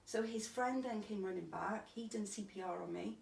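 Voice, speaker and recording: A woman with a Welsh accent and a croaky, gravelly voice, telling her own story plainly in an interview. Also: mid-range voice, moderate pace, a little mellow. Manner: plain, matter-of-fact telling with restarts. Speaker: interviewee recounting a stabbing. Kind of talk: candid personal testimony. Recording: echoey room, distant mic, faint hiss.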